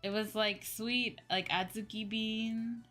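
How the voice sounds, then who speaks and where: A young adult woman in a casual livestream chat, talking as a streamer to viewers in an animated, exclamatory way with acted-out asides. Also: mid-range voice, slow. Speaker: VTuber streamer chatting with viewers. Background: background music.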